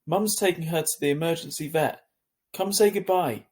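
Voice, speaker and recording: A man's voice: fairly high pitch, moderate pace, a little mellow, voice pushed up, lightly acted. A man voicing an urgent, exasperated older sister, a girl in a children's novel, calling out insistently and emphatically. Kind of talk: children's novel read aloud.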